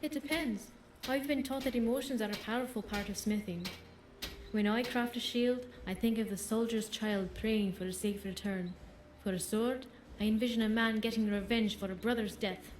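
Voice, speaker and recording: Woman playing an earnest, high-voiced apprentice blacksmith. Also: moderate pace, darkish tone, close to an everyday voice. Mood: earnest, passionate. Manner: earnest, confident, courteous. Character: proud, earnest young female apprentice blacksmith. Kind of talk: fantasy video game character acting. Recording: roomy echo, faint background noise.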